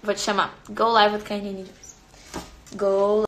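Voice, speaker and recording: A woman's voice: mid-range voice, little pitch movement, unhurried, echoey room, slight background noise, low-quality recording. A young woman with a Brazilian accent hosting a livestream, chatting playfully with viewers and a guest. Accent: Brazilian Portuguese. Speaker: livestream host. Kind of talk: casual livestream chat.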